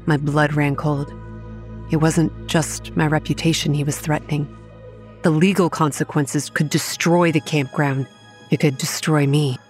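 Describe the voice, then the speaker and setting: A proud young manager who narrates a horror audio drama. Her voice sits low, with soft music beneath it. She is stern and measured, with a combative edge. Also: flat delivery. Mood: tense narration. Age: young adult. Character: proud, combative young woman running a haunted campground. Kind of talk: first-person dramatic narration with dialogue.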